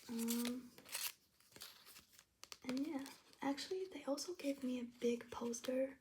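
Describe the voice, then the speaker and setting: A young woman from China, whispering softly as she flips through her travel journal, with many ums and restarts. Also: high voice, slow, a little hiss or hum. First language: Chinese. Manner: soft whisper with fillers and restarts.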